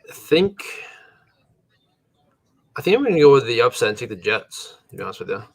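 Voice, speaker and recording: A man's voice: medium pitch, very animated, fairly quick. A man co-hosting a casual sports podcast from his home computer setup, a little tired, rambling loosely with chuckles. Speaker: podcast co-host.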